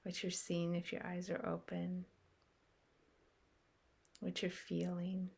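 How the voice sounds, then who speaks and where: A woman leads a guided meditation in a low, gravelly voice. She speaks very slowly and softly and leaves long pauses between short phrases. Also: completely flat delivery.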